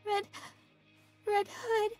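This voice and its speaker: A woman playing a vulnerable, hesitant, girlish young woman with halting delivery in a video game cutscene. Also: extremely high pitch, monotone, exaggerated voice. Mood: pleading. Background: music.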